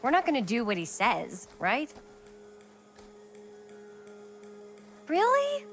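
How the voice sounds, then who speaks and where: An actress playing a dramatic, sarcastic, excitable young woman in a video game, with a theatrical, teasing, slangy delivery. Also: a little high, moderate pace, faint music behind, exaggerated voice. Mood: indignant. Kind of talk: naturalistic video game cutscene acting.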